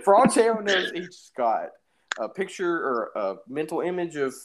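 A man's voice: fairly high pitch, very animated, moderate pace, background noise. A young man casually telling an anecdote in an informal, jokey, exaggerating way. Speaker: storyteller in a podcast-style phone chat.

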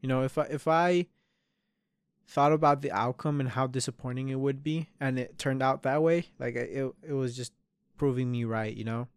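A man's voice: medium pitch, fairly even intonation, moderate pace, clear, resonant tone, dry recording, clean studio sound. A man in his early twenties hosting a solo podcast, speaking candidly, swearing often and trailing off into restarts. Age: 20s. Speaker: podcast host. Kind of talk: solo reflective podcast monologue.

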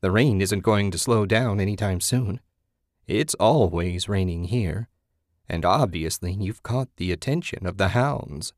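A man voicing an eerie old woman hermit in a story, folksy and hospitable yet sly, with drawn-out phrasing. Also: low-pitched, no room sound, studio quality. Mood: insistent.

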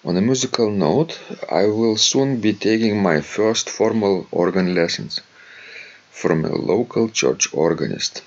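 A man's voice: lowish voice, measured pace, muffled recording. A man from Lithuania with a Lithuanian accent, co-hosting a podcast in casual, hesitant chat with many fillers and reading out a listener's letter. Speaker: podcast co-host.

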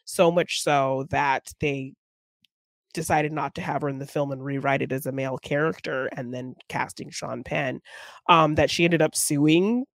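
A woman's voice: deep voice, fairly even intonation, normal speed, creaky, no echo. A woman co-hosting a podcast, discussing film casually and reflectively, often thinking aloud as she goes. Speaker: podcast co-host.

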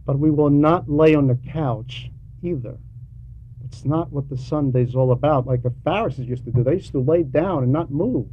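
A man preaching a sermon with emphatic, deliberate phrasing and frequent pauses. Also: a little high. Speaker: preacher reading a translated homily. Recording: dull lo-fi recording with background noise.

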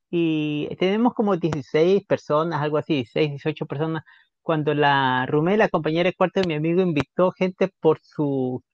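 A woman's voice: low voice, lo-fi sound. A woman from Ecuador with a Guayaquil accent, a guest on a podcast, talking in a friendly, open and casual way. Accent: Guayaquil Ecuadorian Spanish. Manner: friendly, open, laughs easily. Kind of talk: casual conversational interview.

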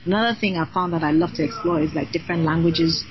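Chatty woman, low voice, lo-fi sound. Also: fast, crowd noise. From Nigeria. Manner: energetic, chatty, many fillers. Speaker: podcast guest, singer and designer. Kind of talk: casual interview chat.

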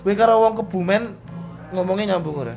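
A man's voice: sits high, quick pace, gravelly, music in the background. A young man hosting a podcast, asking a friend casual, probing questions. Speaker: podcast host. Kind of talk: casual interview chat.

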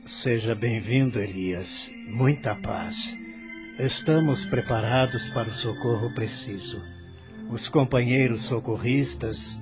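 An actor playing a kindly, serene and devout male spirit mentor in a radio drama, speaking in a measured, formal way. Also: mid-range voice, natural voice. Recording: music under the voice.

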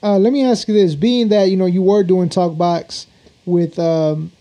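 A man's voice: sits high, steady tone, normal speed, dark tone. A man hosting a casual interview, putting questions to his guest in an informal, conversational way. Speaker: interview host.

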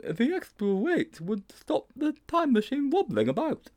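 A man plays an anxious little schoolboy from a comic storybook, pitched very high and swooping about. He dithers, hesitates and drags out his words in a fretful way. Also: very animated, darkish tone, exaggerated voice. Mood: nervous, evasive. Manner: hesitant, drawn-out, fretful delivery.

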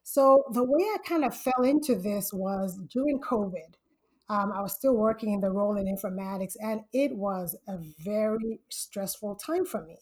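A woman from Zambia, a guest on a podcast, telling her story in a lively way and laughing often. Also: mid-range voice, moderate pace. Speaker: podcast guest, full-time stock trader. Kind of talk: casual interview storytelling.

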